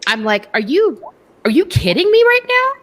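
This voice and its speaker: A woman hosting a casual panel discussion on a video call, talking in an impassioned, animated way with quick asides. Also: extremely high pitch.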